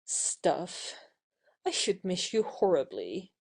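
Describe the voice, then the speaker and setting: A woman playing a playful, wilful young actress in an audio play, brisk and coquettish with her suitor. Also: on the low side, sing-song, vocal fry, darkish tone, breathy edge, full character voice. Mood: dismissive, softening.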